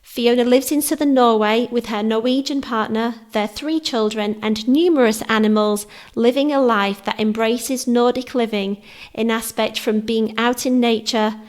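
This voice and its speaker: A woman presents her podcast from a script. Her reading is soft and measured, moving through lists of phrases. Her voice sits a little high. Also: steady tone. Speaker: podcast host.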